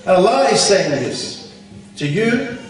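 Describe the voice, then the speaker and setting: A man's voice: sits high, very animated, a little slow, far from the mic. Scolding man in an echoey hall. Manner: blunt, scolding, rhetorical. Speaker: conference speaker. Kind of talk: Islamic talk. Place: conference hall.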